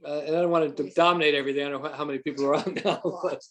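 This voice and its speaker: A man attending an investor webinar who asks casual questions, halting and repeating words as he goes. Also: on the high side, fairly even intonation. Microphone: video call.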